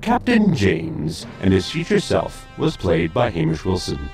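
A man's voice: low-pitched, wildly swooping pitch, unhurried, creaky, loud music behind the voice. A man reading scripted end credits as the narrator of an audio series.

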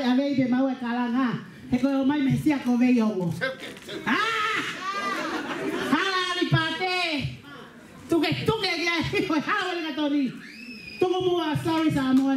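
A woman giving a speech at a reception on a handheld mic, calling out loudly and laughing between phrases. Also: sits high, background noise. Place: large hall with a crowd. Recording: echoey hall, taken from far off.

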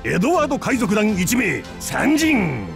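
Game pirate captain, gravelly, husky, hammy, jokey, wildly swinging pitch. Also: male voice, huge pitch swings, unhurried, music in the background, full character voice. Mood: hammy battle cries. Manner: hammy, theatrical, jokey otaku-style speech. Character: boisterous adult male pirate captain, crude and goofy. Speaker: game character voice. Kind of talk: voiced game character lines.

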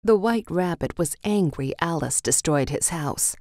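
A woman with a low voice narrates a children's storybook. She reads the scripted text slowly, in read-along style, and the recording is very dry and close. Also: lively intonation, darkish tone, no room sound.

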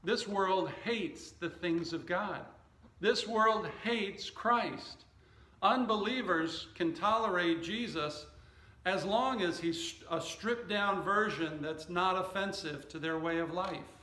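A middle-aged man preaching a sermon as a pastor, speaking in measured, deliberate short phrases. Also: high-pitched, sharp tone, reverberant. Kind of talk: sermon with scripture reading and anecdotes. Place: indoor church stage at a wooden lectern.

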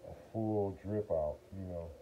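A middle-aged man giving a casual, halting how-to in folksy slang while working outside, recorded on a phone camera. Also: deep voice, highs cut off. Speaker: vlogger demonstrating generator maintenance. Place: outside by a wooden porch. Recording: noisy outdoor phone recording.

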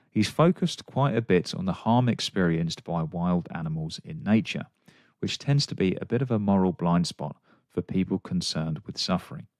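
A man's voice: low voice, a little mellow, no room sound, studio quality. A man hosting a podcast, introducing and interviewing a guest. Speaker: podcast host.

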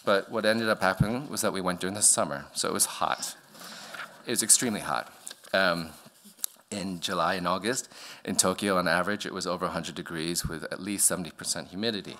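A middle-aged man with a very low, bright-edged voice lectures at a podium mic in a darkened auditorium. He speaks with frequent pauses, ums and restarts as he walks through his slides. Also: a little hiss or hum. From born in Vietnam, raised in the US. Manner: hesitant, many fillers and restarts. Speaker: architect giving a talk. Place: dark auditorium stage at a podium.

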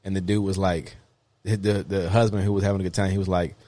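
Low, dry-sounding man chatting casually. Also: level tone, quick pace, warm tone, dry recording. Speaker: podcast co-host. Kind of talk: casual chat, anecdotes.

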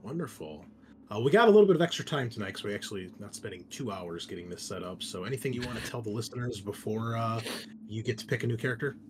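A man's voice: lowish voice, animated, fast talker, slight background noise. A man speaking as host and game master, chatting casually and informally to listeners and players. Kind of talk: casual hosting chat for an actual-play podcast.

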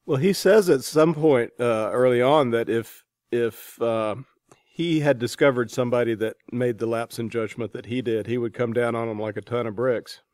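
A man hosting a casual interview, with a halting way of talking as he searches for words. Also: medium pitch, darkish tone, no room sound, clean studio sound. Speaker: podcast interviewer.

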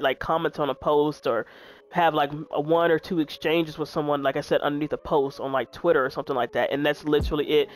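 A woman in her twenties with a deep voice, chatting candidly to her viewers while she plays a video game. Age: 20s. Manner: frank, chatty, talks straight to viewers. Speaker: gaming YouTuber.

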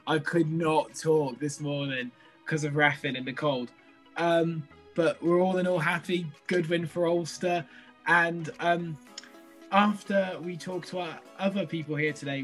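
Young guy on a video call, a bit hoarse from a cold, chatty and excitable, kinda high voice, faint music behind. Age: young adult. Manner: excitable, chatty, laughs easily. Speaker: podcast co-host and rugby referee. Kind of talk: casual podcast chat. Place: bedroom at home. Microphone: laptop webcam on video call.

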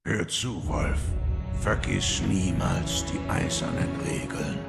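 An actor playing a stern older shinobi master in an audio drama, speaking slowly and imperiously with a gravelly voice. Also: male voice, sits low, very animated, heavily acted. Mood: stern, commanding. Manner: slow, imperious. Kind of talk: German audio drama acting. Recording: loud music under the voice.

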